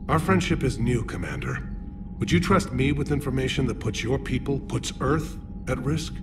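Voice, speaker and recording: An actor playing a stern alien military leader, measured and grave, with a layered alien voice filter. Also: male voice, medium pitch, lively intonation, loud music behind the voice. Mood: guarded. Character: stern turian male military leader, Primarch. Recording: game score behind.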